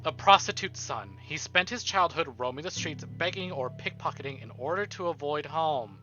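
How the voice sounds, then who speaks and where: A man with a slightly high voice streams a story game, switching between narration and animated, sweary commentary. The audio is a bit dull, with music clearly playing behind him. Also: normal speed. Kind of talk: live playthrough commentary, reading visual novel text aloud.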